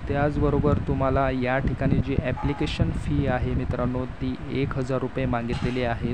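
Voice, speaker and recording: A man from Maharashtra presenting an informational explainer to online viewers, reading out details with hesitant pauses. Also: mid-range voice, very noisy. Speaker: YouTube host announcing job openings.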